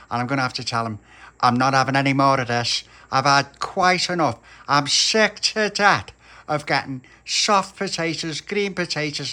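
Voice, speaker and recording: A man's voice: on the high side, sharp tone, pitched above the performer's own voice. A man with a Liverpool accent voicing a fiery working-class mother who rants and sighs in indignation. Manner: indignant ranting, sighing.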